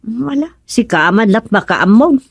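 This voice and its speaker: A woman acting a fussing, exasperated village wife in an Ilocano radio comedy drama, with an expressive, exclamatory delivery. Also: fairly high pitch, unhurried. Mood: chatty, concerned.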